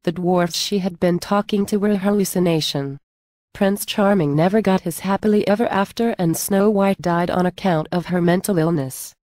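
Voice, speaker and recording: Woman narrator, mellow tone, slightly low pitch, scripted storybook reading, dry recording. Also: normal speed, no echo.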